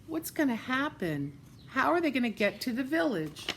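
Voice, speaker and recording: A woman reading a picture book aloud to children, stopping to point out the pictures with gentle asides. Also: high-pitched, very animated, normal speed, a little hiss or hum. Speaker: storyteller.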